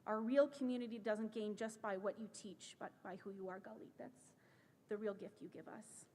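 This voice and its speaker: A woman's voice: steady tone, moderate pace, mellow. A woman, a religious school director, presenting an award in a heartfelt, formal way. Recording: echoey hall with low rumble.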